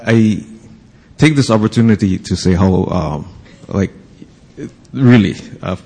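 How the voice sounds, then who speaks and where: A man with a Hawaiian English accent introduces a panel, halting and filling every pause with uh and um. His voice is very low, dark and husky. It carries a long reverb, as if heard across a large hall. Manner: halting, many fillers and pauses. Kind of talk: hesitant formal introduction.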